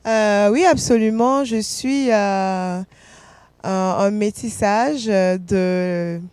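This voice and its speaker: A woman from Côte d'Ivoire chats about her music as an interview guest. She hesitates, fills pauses and laughs easily, her voice sitting at an ordinary middle pitch. The recording is dry, with no room sound. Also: a little mellow, smooth, dry recording. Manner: hesitant, many fillers and restarts, laughs often. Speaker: singer-songwriter guest. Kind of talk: casual interview answers.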